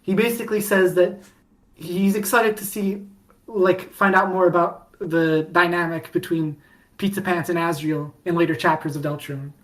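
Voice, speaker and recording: A woman co-hosting a podcast, chatting casually about fandom in an animated storytelling way with mock-dramatic emphasis. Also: on the low side, normal speed, low-quality recording. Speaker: podcast co-host.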